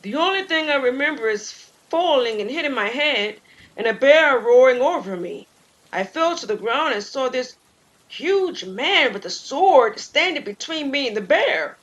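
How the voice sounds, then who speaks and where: A woman's voice: high voice, animated, moderate pace, a bit bright, highs cut off, pitched above the performer's own voice. A woman voicing a firm, reassuring young man who leads the hike in an audio drama. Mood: dazed. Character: steady determined young man leading the hike.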